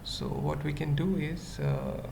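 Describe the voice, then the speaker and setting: A very slow speaker with heavy vocal fry presents an unscripted coding screencast. The delivery is halting, in short fragments, as if thinking aloud. Clear background noise and keyboard typing sit behind the voice. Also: mid-range voice, steady tone. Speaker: web development tutorial presenter. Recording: noisy mic, keyboard typing. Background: computer keyboard typing.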